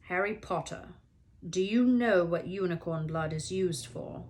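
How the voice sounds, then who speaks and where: A woman voicing a grave, wise male centaur in a storybook, speaking in a solemn, deliberate way. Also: on the low side, darkish tone, a little hiss or hum, close to an everyday voice. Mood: solemn warning.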